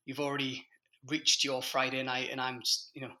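A man's voice: mid-range voice, monotone, normal speed, pushed and bright. A man hosting an industry podcast in a conversational style, speaking hesitantly with frequent restarts. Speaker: podcast host. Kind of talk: conversational interview.

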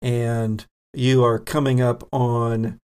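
A man running a tabletop game as game master, setting scenes in an unhurried, descriptive way. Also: mid-range voice, level tone, a little mellow, smooth.

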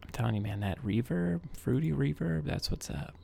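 Soft-spoken gravelly man streaming. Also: lively intonation, normal speed. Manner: soft, low-key, rambling with many fillers. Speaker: music streamer. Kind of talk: relaxed live-stream chat while making music.

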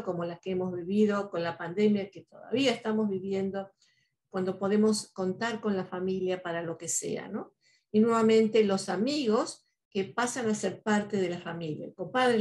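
A woman's voice: medium pitch, darkish tone. A woman whose first language is Spanish, speaking earnestly in a reflective podcast conversation, often adding "¿no?" and "eh". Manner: earnest, speaks with many "¿no?" tags and "eh" fillers.

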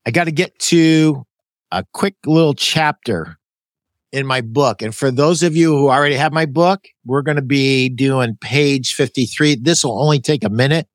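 A man's voice: medium pitch, normal speed, no echo. A Canadian man in his sixties hosting an interview podcast, reading from his own book with loose, folksy asides and self-corrections. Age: 60s. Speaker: podcast host.

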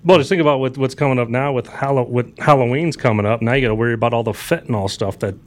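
A man's voice: medium pitch, fast talker. A man chatting casually as a co-host on a talk show. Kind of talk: casual chat.

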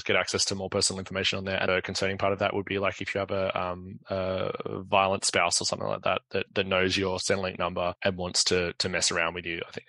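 Croaky low-voiced man. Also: bright, forceful tone, dry recording. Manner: halting, many restarts and fillers. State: croaky from a cold. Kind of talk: casual explanatory discussion.

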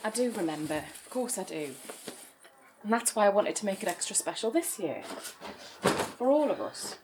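An actress playing a cheerful, keen sister who coaxes and teases in a naturalistic audio drama. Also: female voice, mid-range voice, lively intonation, moderate pace, noisy background, lightly acted. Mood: earnest, hurt.

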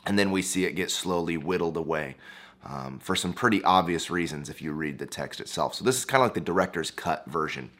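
A young man hosting his own channel, talking through a topic in a relaxed, conversational way with a hoarse, froggy voice and plenty of "uh"s. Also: low-pitched, brisk. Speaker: YouTube and podcast host. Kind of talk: casual explainer monologue.

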